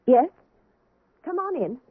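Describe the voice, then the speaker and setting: An actor playing a busy, formal head doctor who speaks curtly but with idealism. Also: male voice, extremely high pitch, very animated, slow pace, no room sound, full character voice. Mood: brisk. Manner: curt, formal. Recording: tinny old radio broadcast.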